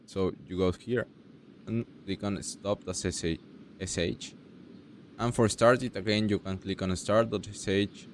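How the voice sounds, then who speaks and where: A young man from Mexico with a Mexican Spanish accent, speaking halting non-native English as he walks through a software tutorial step by step. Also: fairly low pitch, fairly even intonation, a little hiss or hum. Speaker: tutorial creator. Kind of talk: screen-recorded software tutorial.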